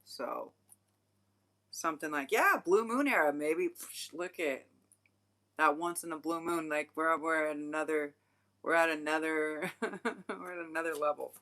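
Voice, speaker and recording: Low-voiced, bright, rambling tarot reader woman. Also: a little slow, pauses often. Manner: rambling, frank, swears casually, many fillers. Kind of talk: casual livestream tarot reading.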